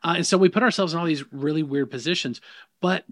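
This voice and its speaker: A man's voice: on the high side, quick pace, fairly bright tone, dry recording, clean studio sound. A middle-aged man with a Southern US accent, a minister talking as an interview guest in an emphatic, preacherly way with many restarts. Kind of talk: casual interview conversation. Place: in person, New York.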